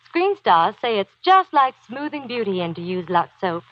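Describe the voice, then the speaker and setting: A woman's voice: mid-range voice, very animated, moderate pace, lightly acted. An actress playing a chatty, enthusiastic woman endorsing a beauty product in a scripted 1940s radio advertisement style. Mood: chatty, keen. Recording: dull 1940s radio broadcast recording.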